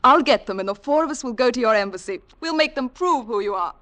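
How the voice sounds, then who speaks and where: An actress playing a bold, impulsive young woman in love in a 1940s radio drama, persuasive and quick to shift from pleading to brisk. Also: fairly high pitch, lively intonation, lightly acted. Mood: brisk, determined. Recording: 1940s radio broadcast recording.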